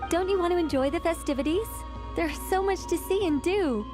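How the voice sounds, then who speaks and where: A woman's voice: extremely high pitch, fairly quick. A woman voicing a playful, chatty, self-satisfied fairy guide in an anime game, polite and theatrical. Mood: cheerful. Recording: music behind the voice.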